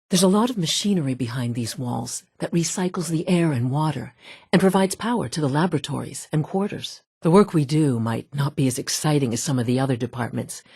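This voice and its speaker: Low, husky, dry-witted woman scientist. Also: warm tone, dry recording, exaggerated voice. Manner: businesslike, wry, clipped. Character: brusque, dry-witted female scientist in a post-apocalyptic underground institute. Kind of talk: video game character dialogue.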